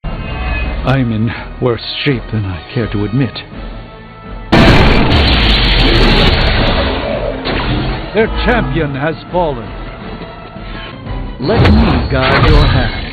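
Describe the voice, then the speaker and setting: A man's voice: medium pitch, big pitch swings, takes it slowly, pauses often. A man playing a proud, wry sorcerer and mentor in a video game, speaking in a formal, articulate, dryly pompous way. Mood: resolute. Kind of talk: scripted video game dialogue. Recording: phone-like narrow band, music behind.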